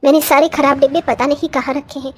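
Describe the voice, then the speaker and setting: A woman as a fretful high-pitched cartoon mother-in-law. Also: flat delivery, fast, natural voice. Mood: worried. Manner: complaining, exclamatory village elder. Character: short older mother-in-law, fretful, grudging but softening. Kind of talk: Hindi cartoon story voice acting.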